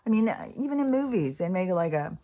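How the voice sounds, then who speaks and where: A woman's voice: lowish voice, sing-song, fairly quick, mellow. A woman in her sixties talking casually and candidly in a rambling spiritual monologue, swearing freely. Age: 60s. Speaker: host.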